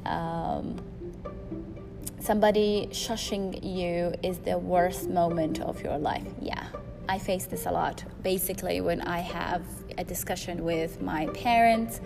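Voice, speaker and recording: Loud-voiced woman podcaster over music. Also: fairly low pitch, fairly even intonation, a little slow, creaky. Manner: rambling, frequent fillers and restarts. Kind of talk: solo podcast monologue. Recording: music playing behind.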